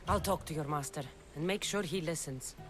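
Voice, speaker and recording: An actress playing a bold, wry mercenary heroine in a video game, speaking bluntly and with assurance. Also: female voice, sits low, brisk, faint music behind, natural voice. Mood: firm. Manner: assertive, wry. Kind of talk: video game cutscene acting.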